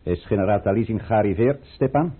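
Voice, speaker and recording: An actor playing a coolly calculating count and conspirator in a radio drama, speaking formally and deliberately with authority. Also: male voice, on the low side, expressive, a little hiss or hum, natural voice. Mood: commanding. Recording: muffled old radio play recording.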